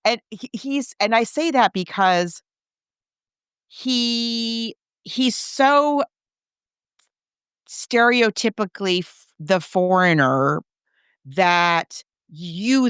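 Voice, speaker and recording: Female podcast co-host, smooth clear voice in a dry, close sound, chatty and animated, recapping a story casually. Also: mid-range voice, dry recording.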